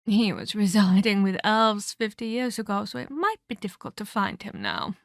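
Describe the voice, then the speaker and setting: A woman voicing an ancient female vampire in an ASMR roleplay, a measured, patronizing mentor who scolds and teases with veiled menace. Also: medium pitch, animated, vocal fry, no room sound, studio quality. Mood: musing. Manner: condescending, teasing, measured, addresses listener as child.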